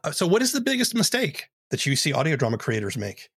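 A man's voice: a little high, huge pitch swings, quick pace, a bit bright, husky, dry recording. A man hosting a podcast interview in a conversational way, putting questions and observations to a guest. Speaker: podcast host.

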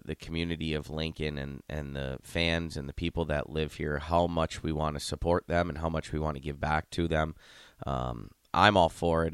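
A man's voice: rumbling low, a bit bright, clear, resonant tone, no room sound, studio quality. A middle-aged man from Ohio, a head coach co-hosting a radio show, chatting casually and rambling with many restarts.

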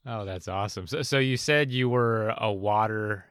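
A man hosting a podcast, talking in a relaxed, chatty way with casual slang. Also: on the low side, moderate pace, smooth, no echo, studio quality. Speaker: podcast host.

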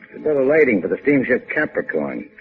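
An actor playing a gruff, sardonic adventurer with clipped lines in a 1950s radio drama. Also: male voice, medium pitch, big pitch swings, quick pace, close to an everyday voice. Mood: wry, brisk. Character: gruff, wisecracking tough adventurer. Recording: tinny band-limited 1950s radio recording with music.

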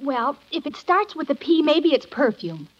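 A woman's voice: sits high, very animated, normal speed. An actress playing a cheerful young niece and mother in a 1950s radio sitcom. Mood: curious. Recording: muffled vintage radio recording.

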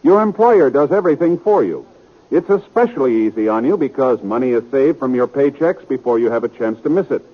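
An American man working as a radio announcer, reading scripted copy in 1950s broadcast style. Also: medium pitch. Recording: tinny narrow-band 1950s radio recording.

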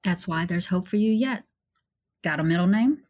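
A woman plays a hard-bitten female mercenary in a sci-fi audio drama, loyal but quick to threaten. She talks in short, blunt, dry lines. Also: fairly low pitch, animated, a little mellow.

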